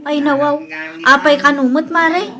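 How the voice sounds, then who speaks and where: A woman's voice: high-pitched, steady tone, moderate pace, a crowd in the background, close to an everyday voice. A woman playing a chatty, prying friend in an Ilocano comedy radio drama, speaking with an Ilocano accent. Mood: curious. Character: nosy, gossiping Ilocano woman friend of the wife. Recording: music under the voice. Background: music.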